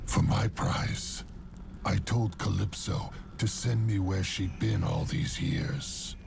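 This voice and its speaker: An actor playing a sadistic psychotic killer in a video game, narrating darkly and savoring it with a gravelly, menacing voice. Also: male voice, sits low, heavy background noise, exaggerated voice. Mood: brooding obsession. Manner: slow, savoring, sinister. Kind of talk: dark scripted game cutscene narration.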